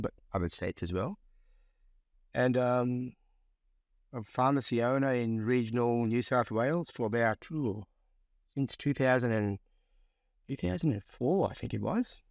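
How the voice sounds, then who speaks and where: A man in his forties from Fiji, a pharmacist guest talking in a relaxed, reflective way with many fillers. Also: medium pitch, creaky, no room sound, studio quality. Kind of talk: conversational interview.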